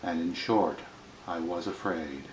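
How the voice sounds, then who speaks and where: Male poetry reader, lowish voice, very unhurried plain literary delivery, recorded in an echoey room.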